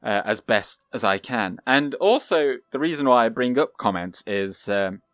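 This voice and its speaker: A young man giving calm, casual commentary over his own gameplay, soft-spoken and pausing often with fillers. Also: medium pitch, dry recording, clean studio sound. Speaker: gaming channel host.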